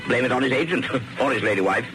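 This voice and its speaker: An actor playing a bossy, scheming British Major in an old-fashioned radio drama, brusque, commanding and easily irritated. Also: male voice, mid-range voice, expressive, fast, lightly acted, rough recording. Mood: smug, assured. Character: bossy, scheming, impatient male spymaster Major. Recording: radio recording with music behind.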